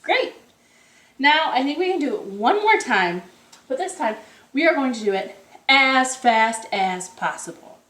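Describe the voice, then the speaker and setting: A woman reads to young children in a slightly high voice and an unhurried way. She stretches her phrases and puts questions to her little listeners. The sound is clearly roomy, as in a living room. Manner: expressive, drawn-out phrasing with gasps and direct questions to young listeners. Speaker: storytime host for young children. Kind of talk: read-aloud storytelling for children.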